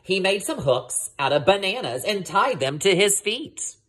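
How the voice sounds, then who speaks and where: A woman reading a children's picture book aloud like a teacher, expressive and addressing her young listeners. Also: medium pitch, a little slow, breathy edge. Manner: expressive, asks listeners questions.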